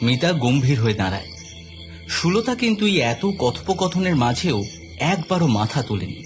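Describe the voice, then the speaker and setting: A man's voice: fairly high pitch, big pitch swings, normal speed. A man reading scripted narration for a dramatic horror audio story. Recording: background music under the voice.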